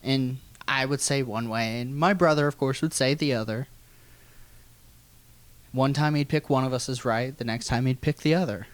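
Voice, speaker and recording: An American man telling a funny personal story in an animated, folksy way with some swearing. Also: mid-range voice, moderate pace, dry recording. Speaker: guest storyteller.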